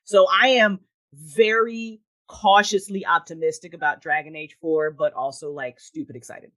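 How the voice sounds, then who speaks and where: A woman chatting casually as an interview guest, animated and enthusiastic like a devoted fan. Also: lowish voice, normal speed. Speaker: podcast guest, writer and audio drama creator.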